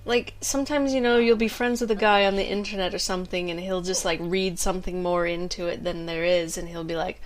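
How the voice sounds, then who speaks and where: A woman's voice: fairly low pitch, fairly even intonation, a little fast, few pauses, soft background music. A young American woman chatting frankly and jokily while co-hosting a game stream. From United States. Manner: frank, giggly, blunt jokes. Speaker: co-host streamer. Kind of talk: casual game stream chat.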